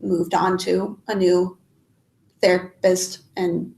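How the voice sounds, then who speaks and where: A woman's voice: on the low side, flat delivery, slow, vocal fry, a little mellow. Sniffly, stuffed-up woman live streaming. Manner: hesitant, many ums and restarts. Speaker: YouTuber answering live chat. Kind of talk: casual live stream chat. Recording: echoey room.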